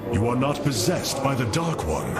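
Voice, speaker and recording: A noble alien commander, acted by a man, speaks in formal, stately phrases with wide, dramatic swings of pitch. He is solemn and commanding, a video game leader over loud music. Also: big pitch swings, normal speed, lightly acted. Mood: stern resolve. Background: loud game soundtrack.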